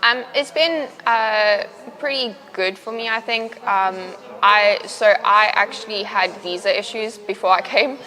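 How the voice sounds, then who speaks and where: A young woman from South Africa with a South African accent, a university student answering interview questions in a relaxed, chatty way with many fillers. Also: medium pitch, measured pace, few pauses, low-quality recording. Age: young adult.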